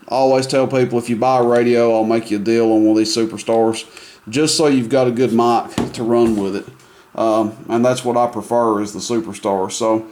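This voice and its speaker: A man's voice: medium pitch, a little mellow, slight background noise. A man casually demonstrating a CB radio for sale at an indoor workbench, talking in an easygoing, rambling way with plenty of fillers. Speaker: CB radio seller. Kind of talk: casual product demo.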